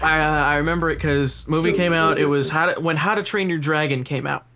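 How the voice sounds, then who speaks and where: A man's voice: fairly high pitch, fairly even intonation, fairly quick, music in the background. A young man casually telling profane anecdotes to friends, acting out the dialogue as he goes. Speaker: podcast storyteller.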